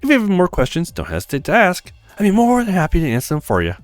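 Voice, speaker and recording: Man voicing a breezy young handywoman, swooping pitch. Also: wildly swooping pitch, fast talker, dry recording, faint music behind. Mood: cheerful. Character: friendly, frank young female handywoman. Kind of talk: acted visual novel read-aloud.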